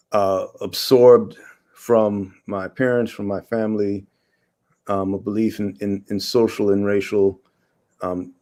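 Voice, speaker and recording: Halting historian from Guyana, low voice. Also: male voice, darkish tone. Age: 40s. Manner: halting, many fillers and restarts. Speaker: guest historian. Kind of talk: conversational interview answers.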